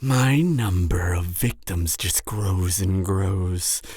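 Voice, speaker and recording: A woman's voice: a bass voice, slow, darkish tone, no room sound, studio quality, exaggerated voice. A woman playing a gleeful, mocking cannibal serial killer in a theatrical audio roleplay, taunting the listener. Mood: brooding, menacing. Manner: theatrical, taunting, frequent cackles and breathy whispers.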